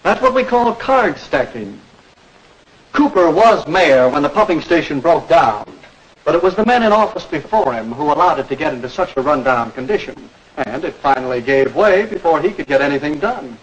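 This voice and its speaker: An actor playing a patient campaign mentor, explaining and probing with questions in a 1940s educational film. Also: male voice, a little high, animated, normal speed, close to an everyday voice. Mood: instructive. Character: patient campaign speechwriter coaching a young learner. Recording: noisy old 1940s film soundtrack.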